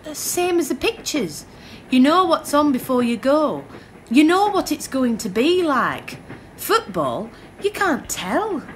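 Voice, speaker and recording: A woman's voice: high voice, sing-song, takes it slowly, a little hiss or hum, heavily acted. An actress playing a naive, inquisitive young woman in a radio play, blunt in manner. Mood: musing. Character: naive questioning young woman, bored by football. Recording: train ambience behind.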